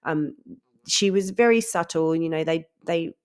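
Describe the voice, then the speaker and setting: A woman's voice: low-pitched, measured pace, smooth. A woman hosting a spiritual podcast, talking casually and earnestly. Her voice is nasal and stuffed up from a head cold. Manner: chatty and earnest, many ums and laughs. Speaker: podcast host.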